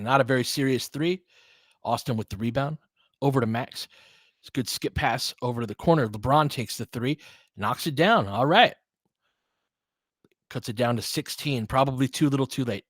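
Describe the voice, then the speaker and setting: A man's voice: mid-range voice, moderate pace, no room sound. A man doing casual basketball play-by-play, mixing calls of the action with opinionated, conversational analysis and coaching the players aloud. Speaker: fan play-by-play commentator.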